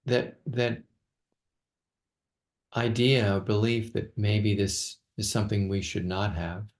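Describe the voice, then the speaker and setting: A man guides a meditation in a low voice with a lot of vocal fry. His delivery is very slow and halting, with long gaps between phrases and words repeated as he goes. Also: level tone. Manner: halting, with long pauses and soft repetitions. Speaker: meditation teacher.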